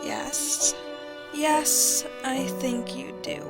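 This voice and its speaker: Woman acting as a mysterious medium in a radio play, high voice, measured and ominous, music behind. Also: fairly even intonation, creaky, exaggerated voice. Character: calm, mysterious female spirit medium, an adept. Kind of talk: vintage-style radio drama acting.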